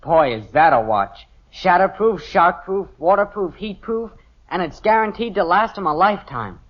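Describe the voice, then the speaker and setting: An actor playing a cheeky, wisecracking teenage son in an old-time radio comedy, boyish and eager with an American accent. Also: male voice, high-pitched, lively intonation, normal speed. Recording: muffled vintage radio recording.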